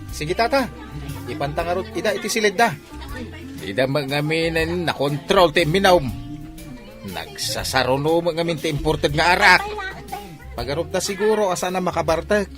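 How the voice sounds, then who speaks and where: A man's voice: a little high, close to an everyday voice. An actor playing an insistent older father arranging a marriage in an Ilocano radio drama, with an Ilocano accent.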